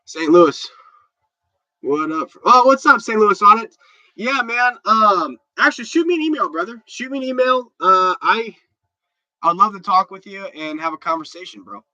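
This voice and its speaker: A man's voice: sits high, lively intonation, normal speed, bright, forceful tone, dry recording, lo-fi sound. A stuffed-up man hosting a casual livestream, chatting loosely and answering viewer comments with many restarts. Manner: rambling and chatty, with repeated restarts. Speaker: livestream host.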